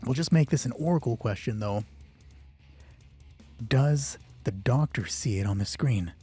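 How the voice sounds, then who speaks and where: Husky, mellow man running solo roleplay. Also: mid-range voice, animated, a little slow. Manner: casual, frequent swearing. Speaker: solo roleplay game master. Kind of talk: solo tabletop roleplay, narrating and thinking aloud. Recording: background music under the voice. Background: music.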